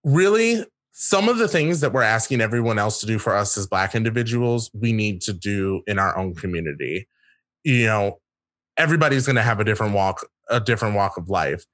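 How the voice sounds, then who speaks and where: A man speaking as a guest in a casual discussion, earnest and reflective, often pausing to rephrase. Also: fairly low pitch, animated, fairly bright tone, no room sound, clean studio sound.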